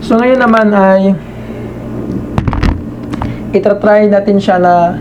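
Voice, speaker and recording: A man giving a casual hands-on product demonstration as a reviewer, halting and repeating himself as he searches for words. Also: high-pitched, steady tone, lo-fi sound, noisy background.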